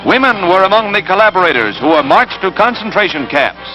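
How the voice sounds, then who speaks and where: High-pitched American 1940s newsreel man. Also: animated, brisk, poor audio. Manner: dramatic, emphatic delivery. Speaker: newsreel narrator. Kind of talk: 1940s newsreel narration, scripted. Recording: old 1940s newsreel soundtrack, loud music. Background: loud orchestral newsreel score.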